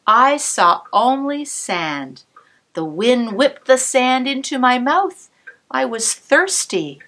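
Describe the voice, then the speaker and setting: Cantor reading a picture book to kids; slow, sing-song delivery with lots of pitch movement. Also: female voice. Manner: warm, expressive storytelling with asides to the listener.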